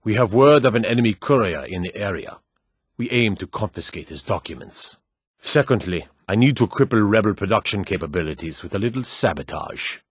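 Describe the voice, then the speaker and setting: A man playing a stern, battle-hardened military officer in a fantasy video game, brisk, commanding and gruffly matter-of-fact as he gives orders. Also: lowish voice, expressive, dry recording, clean studio sound. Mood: weary, exasperated.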